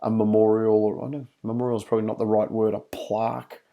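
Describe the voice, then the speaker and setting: A man's voice: on the low side, level tone, fast, dull audio. A man hosting a podcast, chatting casually and rambling with lots of fillers and restarts over a video call. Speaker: podcast host. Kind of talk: casual chat.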